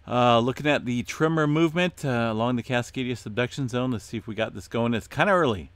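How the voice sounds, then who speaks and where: A man hosting an earthquake update, talking casually through his screen with many fillers and a voice going hoarse. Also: medium pitch, quick pace, no echo. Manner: relaxed, many "uh" fillers, addresses viewers as folks. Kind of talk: casual screen-share commentary.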